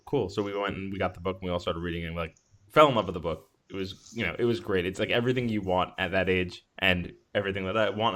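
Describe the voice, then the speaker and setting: A man co-hosting a podcast, talking casually in a rambling, halting way as he thinks aloud. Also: sits low, a bit bright. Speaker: podcast co-host. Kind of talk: casual chat.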